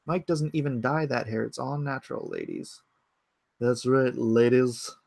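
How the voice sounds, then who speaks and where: A man's voice: medium pitch, measured pace, vocal fry, a little mellow, dry recording. Chatty man in his forties, dry mic. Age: 40s. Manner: rambling, thinks aloud with fillers and restarts. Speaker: livestream host. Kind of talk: casual livestream chat reading comments. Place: home computer setup.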